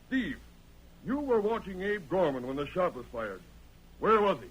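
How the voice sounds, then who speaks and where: A man's voice: high voice, very animated, normal speed, a bit bright, breathy edge, heavily acted. An actor playing a bold undercover lawman in an old radio western, speaking insistently in folksy Old West speech. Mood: accusing. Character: bold, forceful undercover lawman posing as accused man. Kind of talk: 1940s radio western acting. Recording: noisy old-time radio recording.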